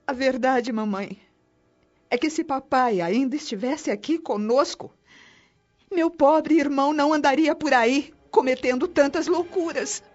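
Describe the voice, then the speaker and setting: An actress with a Brazilian accent playing a grieving, pleading young daughter in a radio soap opera. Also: female voice, sits high, unhurried, darkish tone. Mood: hurt, pleading. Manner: plaintive, pleading. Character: grieving young daughter missing her dead father. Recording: muffled audio with music underneath.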